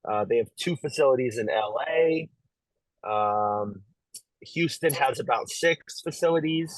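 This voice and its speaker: A young man from Las Vegas, a pro pickleball player, chatting as an interview guest in a relaxed, easygoing way. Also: mid-range voice, measured pace, no echo, poor audio. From Las Vegas, USA. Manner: relaxed, easygoing, lots of fillers and light laughs. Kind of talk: casual interview talk.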